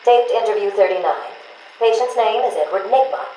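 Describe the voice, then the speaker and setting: An actress playing an earnest, weary psychiatrist in a video game, speaking in a measured, formal, clinical way. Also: female voice, medium pitch, mellow, natural voice. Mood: clinical, composed. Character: weary, earnest, professional female psychiatrist interviewing a criminal patient. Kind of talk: video game voice acting, taped clinical interview. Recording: echoey taped interview, faint background noise.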